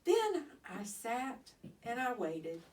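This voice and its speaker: A woman narrating a children's story expressively, with deliberate, dramatic phrasing. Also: a little high, huge pitch swings, a little mellow. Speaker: storybook reader. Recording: slightly distant microphone.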